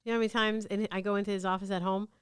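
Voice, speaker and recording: A woman's voice: medium pitch, little pitch movement, rapid-fire, a loud crowd. A woman chatting casually in a podcast group, teasing and playful, with some swearing. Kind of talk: casual chat. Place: small podcast studio.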